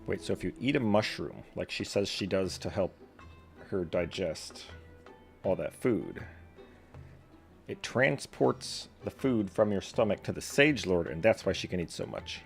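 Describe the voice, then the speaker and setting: A woman giving casual, deadpan, joking commentary over gameplay. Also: very deep voice, unhurried, creaky, soft background music. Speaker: game streamer.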